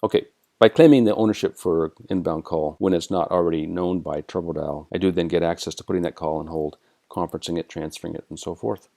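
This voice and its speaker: American man, dark low voice, tutorial narration, methodical step-by-step delivery. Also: fairly even intonation, fast talker, gravelly. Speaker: software tutorial presenter. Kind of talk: instructional screencast narration.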